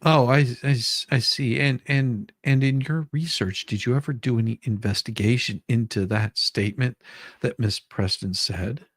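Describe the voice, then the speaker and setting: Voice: mid-range voice, normal speed, slightly rough, no room sound, clean studio sound. A show host who reads out a deposition transcript dramatically, mixing it with casual banter and easily breaking into giggles. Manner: giggly, easily cracks up between read lines.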